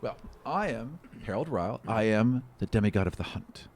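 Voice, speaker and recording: Man voicing an animated, smug elf demigod hunter. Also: lowish voice, moderate pace, slight background noise, lightly acted. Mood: smug, eager. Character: eccentric, confident pale elf demigod of the hunt.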